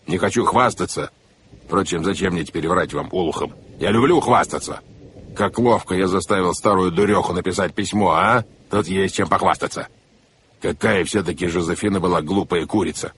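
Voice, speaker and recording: A man voicing a boastful, gloating villainous count in an audiobook, with a growling, drawn-out, sneering delivery. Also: sits low, a bit bright, slight background noise. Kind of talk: theatrical audiobook character acting.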